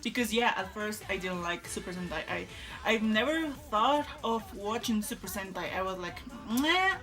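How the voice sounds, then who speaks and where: Young woman, fairly high voice, chatty vlog, faint music underneath. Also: a bit bright. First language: Spanish. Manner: chatty and enthusiastic, with many "like" and "uh" fillers. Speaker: vlogger. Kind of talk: casual fan vlog.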